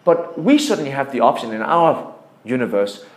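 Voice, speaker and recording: A man's voice: medium pitch, animated, normal speed. A man with a Danish accent explaining things in an interview as a startup founder, emphatically and through examples. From Denmark. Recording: echoey room.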